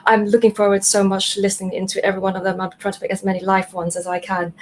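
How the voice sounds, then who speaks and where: A woman's voice: medium pitch, level tone, racing, darkish tone. Woman with a mixed international accent. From Australia and Canada. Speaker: panelist, poet and writer. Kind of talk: friendly self-introduction on a panel. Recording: noisy online call, faint background noise.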